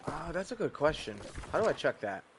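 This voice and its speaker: A man's voice: a little high, very animated, brisk, slight background noise. A man streaming a game, chatting casually to viewers in a laid-back, rambling way with casual swearing. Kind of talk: casual gameplay commentary.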